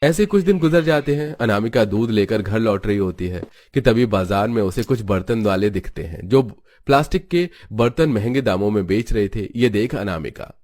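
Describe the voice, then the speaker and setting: A man's voice: lowish voice, expressive, moderate pace, hardly any pauses, darkish tone. A man giving scripted narration of a story.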